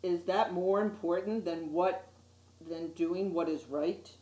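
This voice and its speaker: A woman voicing a huge, wise old male fish from a children's storybook, speaking low and slowly in a solemn, soothing way. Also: fairly even intonation, warm tone, a little hiss or hum. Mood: solemn, urging. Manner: slow, solemn, deliberate delivery. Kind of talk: children's storybook read aloud. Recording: roomy, echoey sound.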